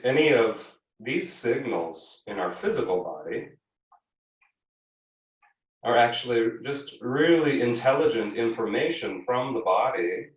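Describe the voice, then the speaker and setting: A man teaching a guided yoga class, giving gentle, measured instructions with frequent pauses. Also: medium pitch, highs cut off. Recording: echoey room, distant microphone.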